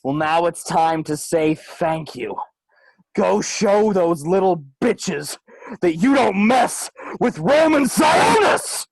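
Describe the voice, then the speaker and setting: A man playing a menacing, grandiose crime boss in a film script table reading, declaiming theatrically in a gravelly, husky voice. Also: sits high, sing-song, slow pace, no room sound, exaggerated voice. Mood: commanding, menacing. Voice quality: gravelly, husky shouted delivery. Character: menacing, grandiose adult male crime boss rallying goons. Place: home, on a group video call.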